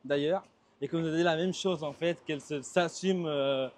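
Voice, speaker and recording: A man's voice: a little high, normal speed. A man in his twenties interviewing casually while walking, joking and rambling, repeating himself. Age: 20s.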